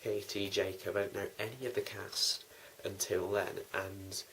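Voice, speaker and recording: A man's voice: fairly low pitch, flat delivery, a little slow, vocal fry, fairly bright tone. A young man talking casually and in a rambling, informal way as host of a behind-the-scenes vlog. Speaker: host, writer and producer of a fan audio drama. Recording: noisy amateur recording with clicks.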